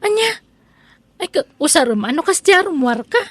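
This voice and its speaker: A woman playing a chatty, pushy peddler and nosy neighbour who flatters and teases, in an Ilocano comedy radio drama. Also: very high voice, a little fast, no room sound. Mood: teasing. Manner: wheedling, teasing, exclaiming.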